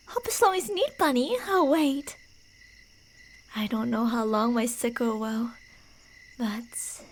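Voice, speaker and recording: A woman playing a delusional, playfully menacing yandere killer in an ASMR roleplay, teasing in a sing-song way and slipping into breathy whispers. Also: high-pitched, a little hiss or hum. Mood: predatory patience.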